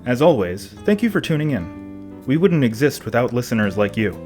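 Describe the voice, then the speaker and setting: A pensive man played by an actor hosting a podcast in an audio drama. His voice sits at a middling pitch as he rambles conversationally through a scripted monologue. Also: sing-song, normal speed, darkish tone, faint music behind, close to an everyday voice. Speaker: podcast host.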